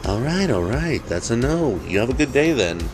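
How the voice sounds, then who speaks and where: A man's voice: medium pitch, sing-song, moderate pace, loud music behind the voice, voice pushed up, natural voice. Casting: upbeat, unbothered regular guy acted by a male narrator, chatty and casual, for comic storytelling. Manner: chirpy, casual, rambling. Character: cheerful, unflappable easygoing man, demon's one-night date.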